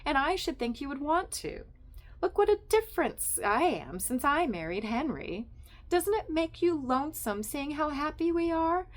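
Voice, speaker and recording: Lady doing a high gushy nosy young wife, sweet and bossy, honey this honey that. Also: big pitch swings, normal speed. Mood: gushing. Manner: gushing, coaxing, calls everyone honey.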